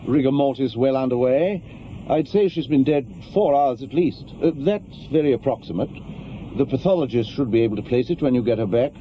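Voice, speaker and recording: Casting: male actor as unhurried police doctor, mid-pitched, laconic, radio drama. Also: lively intonation, natural voice. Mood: detached, laconic. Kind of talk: naturalistic radio drama acting. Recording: dull lo-fi radio recording, noisy.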